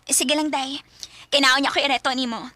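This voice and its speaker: A woman's voice: high-pitched, fairly even intonation, fairly quick, close to an everyday voice. A woman playing a chatty, curious teenage friend in a radio drama, who asks questions in a teasing way.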